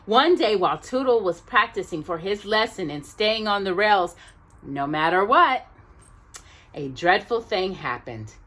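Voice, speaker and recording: A woman reading a children's story aloud expressively, as if to young listeners, pausing to check in with them. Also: medium pitch, lively intonation, sharp tone. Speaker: storytime reader.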